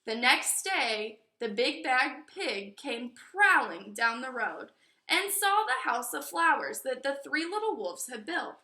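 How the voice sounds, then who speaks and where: A woman reading a children's storybook aloud in an expressive, teacherly way, with explanatory asides. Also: high-pitched, takes it slowly. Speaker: storybook reader. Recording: echoey room.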